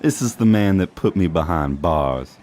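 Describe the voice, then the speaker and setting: A man's voice: deep voice, expressive, moderate pace, a little mellow, a little hiss or hum. A man voicing a smug, seedy reindeer ex-con with a sly manner in a comedic noir audio drama. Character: seedy male reindeer ex-con, smug old criminal accomplice.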